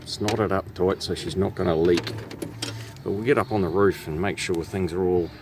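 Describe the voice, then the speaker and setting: Man, New Zealand accent, lowish voice, colloquial technician narration, casual and matter-of-fact, noisy vehicle ambience. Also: fairly even intonation, normal speed. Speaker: radio technician narrating own repair video. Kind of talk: casual hands-on walkthrough. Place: in and around a truck cab. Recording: clear background noise, vehicle ambience.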